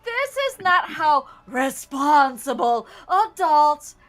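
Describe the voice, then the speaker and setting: A woman's voice: sits high, big pitch swings, slow, a bit bright, heavily acted. A woman voicing an uptight, exasperated responsible woman, stammering and emphatic in a comedic radio-drama table read.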